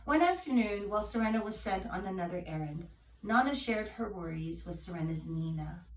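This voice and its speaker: A woman reading a legend as scripted storytelling, with measured, dramatic phrasing. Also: medium pitch, lively intonation, slight background noise. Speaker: author reading her retold legend. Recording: roomy echo, distant from mic.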